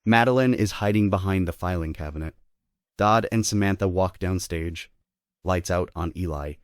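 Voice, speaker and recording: A man's voice: sits low, normal speed, no room sound, studio quality. A man narrating stage directions in a scripted table read.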